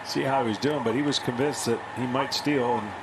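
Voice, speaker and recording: A man's voice: medium pitch, steady tone, a little fast. An American man giving conversational live baseball analysis as a color commentator. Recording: very noisy live stadium broadcast.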